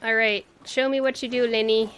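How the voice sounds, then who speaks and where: A woman's voice: on the high side, fairly even intonation, moderate pace, fairly bright tone, a little hiss or hum. A woman giving casual livestream commentary as she plays a video game, with playful exclamations and quaint interjections. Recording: game music behind the voice.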